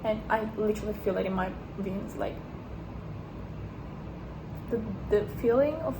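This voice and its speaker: A woman's voice: medium pitch, measured pace, background noise. A young woman from Turkey, a non-native English speaker, talking casually to camera in a rambling, hesitant way with many restarts. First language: Turkish. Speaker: vlogger. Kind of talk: casual personal vlog.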